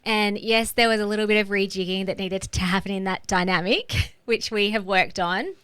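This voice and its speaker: A woman, co-hosting a podcast and telling a personal story in an open, chatty way, often laughing and filling pauses with "like". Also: mid-range voice, fairly bright tone, smooth, no room sound. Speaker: podcast co-host.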